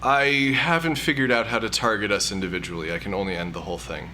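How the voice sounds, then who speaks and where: A man's voice: lowish voice, steady tone, fast talker, sharp tone, a little hiss or hum. A man playing a sheepish, nerdy hacker in an audio drama, hesitant and self-justifying. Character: sheepish, nerdy male hacker, brooding digital security veteran.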